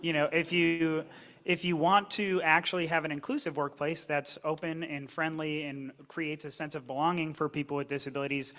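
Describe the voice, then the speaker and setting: Panelist, male, higher-pitched voice, earnest and explanatory. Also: level tone, moderate pace, fairly bright tone. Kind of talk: panel discussion.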